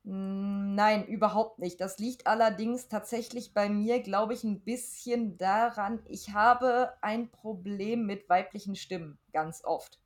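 A woman's voice: mid-range voice, steady tone, a little slow, hardly any pauses. A middle-aged woman, chatting casually and frankly as a podcast guest, with emphatic wording. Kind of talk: casual chat.

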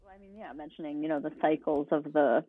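A woman's voice: deep voice, steady tone, fast. A woman, a writer, chatting in an interview and thinking aloud conversationally. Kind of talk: casual interview talk. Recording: narrow, phone-like call audio, dry.

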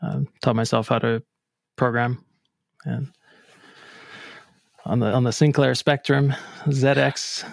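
An Iceland-born man speaks as a podcast guest, answering calmly and pausing often to find his words. His voice has a gravelly edge in a mid pitch. The sound is dry and close, with no room around it. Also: no room sound. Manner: relaxed, hesitant with fillers. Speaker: guest programmer. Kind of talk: casual interview answers. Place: home near Boston.

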